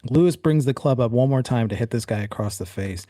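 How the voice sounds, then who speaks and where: Male game master, mellow tone, dry close sound, casual slangy storytelling, vivid horror narration. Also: medium pitch, a little fast, no echo.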